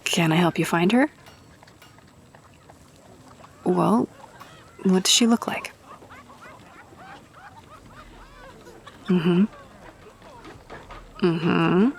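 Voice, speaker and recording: A woman's voice: lowish voice, a little hiss or hum. A woman playing a friendly, grateful rogue-for-hire in a fantasy audio roleplay, talking casually and chummily to the listener. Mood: chummy, curious.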